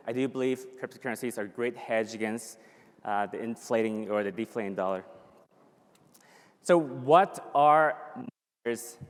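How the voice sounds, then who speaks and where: A man in his thirties speaks at a middle pitch, pitching to an audience in an upbeat way with the odd "uh" and restart. His voice rings out into a large hall with a long reverb tail. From born in a refugee camp in Thailand, raised in the US. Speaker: conference speaker. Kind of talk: conference presentation. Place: conference hall.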